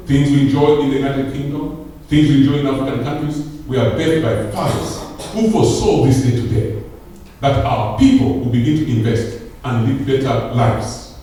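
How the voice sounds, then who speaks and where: A man's voice: mid-range voice, darkish tone. Man giving an opening address, preacher-like emphasis, heard from far off in a very echoey hall with faint room noise. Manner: preacher-like, rises to emphatic peaks. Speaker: conference founder giving opening speech. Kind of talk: public speech. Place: large hall. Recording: echoey hall, distant mic.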